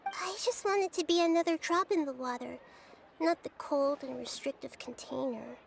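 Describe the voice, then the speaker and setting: A woman voicing a small, gentle, wise child goddess in a video game, light and childlike, speaking in a measured, articulate way. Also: high-pitched, gravelly, slight background noise. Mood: wistful, earnest. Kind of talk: video game dialogue acting.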